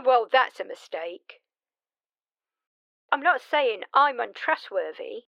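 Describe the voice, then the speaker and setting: A woman's voice: mid-range voice, highs cut off. A woman playing a blunt, wry immortal vampire mentor in a one-sided audio drama, conversational and teasing in her delivery. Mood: amused, warning.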